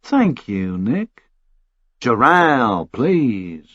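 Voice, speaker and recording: A man voicing a cold, formal Texan official in an audiobook, measured, condescending and threatening. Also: medium pitch, very animated, fairly bright tone, no echo, muffled recording, full character voice. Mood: quiet menace.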